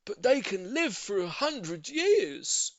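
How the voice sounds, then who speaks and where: A man voicing a shy, earnest, politely lovestruck older gentleman with a solemn, emphatic delivery in an audiobook. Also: high voice, very animated, slow pace, no echo, voice pushed up, heavily acted. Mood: solemn, reassuring. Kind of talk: audiobook character voice.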